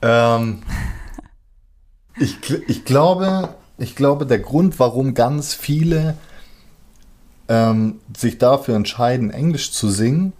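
A man's voice: medium pitch, expressive, slow, pauses often, darkish tone. A man talking casually in colloquial language as a musician, often hesitating while he searches for words. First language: German. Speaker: musician, rapper. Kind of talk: casual conversation.

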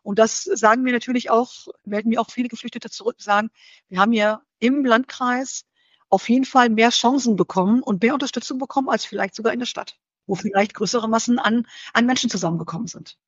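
A woman answering interview questions thoughtfully, in a measured way that often pauses to search for the right word. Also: fairly high pitch, dry recording. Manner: measured, searching for words, frequent fillers. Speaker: interview guest. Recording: slightly dull dry recording.

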